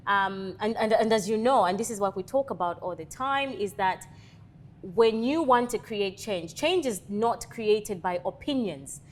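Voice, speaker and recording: A woman's voice: mid-range voice, slight background noise. A woman in her thirties from Zambia, speaking, talks as an interview guest and leadership coach with earnest, motivational conviction. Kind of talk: interview conversation.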